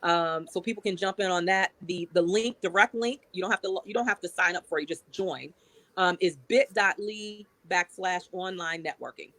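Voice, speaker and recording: A woman's voice: fairly low pitch, moderate pace, a bit bright, no echo. A woman with an American accent speaking as a guest in a motivational, emphatic way. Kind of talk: motivational interview talk.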